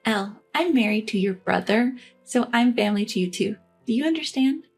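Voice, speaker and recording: A woman's voice: a little high, lively intonation, fairly quick, a little mellow, soft background music. A woman voicing a snide, entitled sister-in-law and young mother with a condescending, whiny manner.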